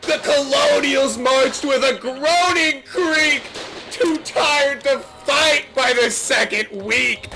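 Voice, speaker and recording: A man loudly declaiming mock-heroic slam poetry in drawn-out lines, shouting it out during an online game. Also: very high-pitched, noisy background. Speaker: video game player reciting a mock poem. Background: loud music.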